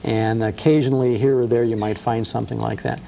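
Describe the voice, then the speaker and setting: Gravelly radio host. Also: male voice, medium pitch, fairly even intonation, brisk, warm tone, a little hiss or hum, low-quality recording. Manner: enthusiastic, storytelling, frequent uh fillers. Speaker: radio host and museum co-founder. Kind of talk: casual interview chat.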